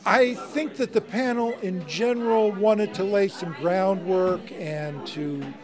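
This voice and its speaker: A man's voice: high-pitched, takes it slowly. A middle-aged man, a faculty panelist, explaining earnestly in an interview and repeating his points for emphasis. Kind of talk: interview soundbite. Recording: crowd noise behind. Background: crowd chatter.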